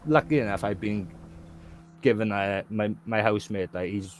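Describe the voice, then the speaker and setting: A man's voice: lowish voice, normal speed, a little mellow, slight background noise. A man from Wales with a Welsh accent, a guest talking candidly in casual conversation, rambling and often asking "do you know what I mean".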